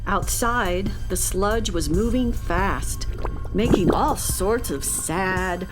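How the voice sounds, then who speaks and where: A woman's voice: mid-range voice, takes it slowly. A woman narrating a scripted children's story. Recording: music playing behind.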